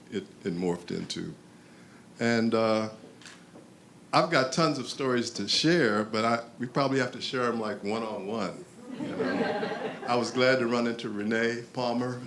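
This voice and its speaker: An older man near retirement, reminiscing on an alumni panel in a relaxed, rambling way. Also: fairly low pitch, gravelly, slight background noise.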